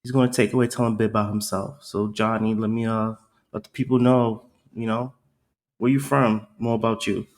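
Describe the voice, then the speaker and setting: Casual male podcast host, slangy and halting. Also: on the low side, level tone. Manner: loose, slangy, halting questions.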